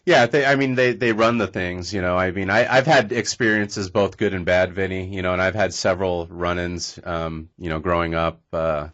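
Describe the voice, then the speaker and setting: A man's voice: sits low, steady tone, moderate pace, few pauses, a bit bright, dull audio. A man from California hosting a podcast, rambling loosely with casual swearing. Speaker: podcast host.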